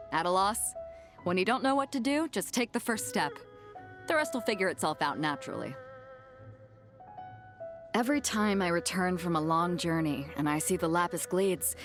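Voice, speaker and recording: A confident, hearty woman captain of the seas, acted by a female voice actor, speaks as a video game character. She is proud and breezy, with an easygoing swagger in casual phrasing. Her voice sits at a medium pitch, with music playing behind it. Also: close to an everyday voice. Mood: bold, rousing, then fond, easygoing. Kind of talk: scripted game character voice lines.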